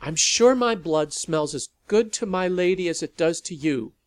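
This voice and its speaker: A man's voice: a little high, animated, normal speed, pitched above the performer's own voice, natural voice. A man voicing a young woman who snaps back defiantly at a crowd of vampires. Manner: sharp, snapping. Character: defiant young woman standing her ground.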